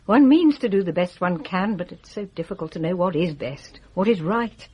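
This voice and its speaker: Husky, proud ailing English widow. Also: female voice, on the low side, lively intonation, moderate pace, dark tone. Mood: fond, troubled. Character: proud, ailing widow over sixty, affectionate but regretful. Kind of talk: radio drama acting. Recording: dull, muffled radio recording.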